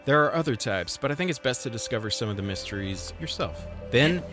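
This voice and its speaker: A man of middle pitch narrates a video game review over faint background music. He reads from a script but stays conversational and wry, dropping self-deprecating asides as he goes. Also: lively intonation, brisk.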